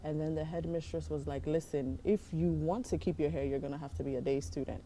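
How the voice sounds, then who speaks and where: A woman, an actress and TV host who grew up in California, answers interview questions and tells stories about her past in a relaxed way. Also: low voice, brisk, a little hiss or hum, rough recording.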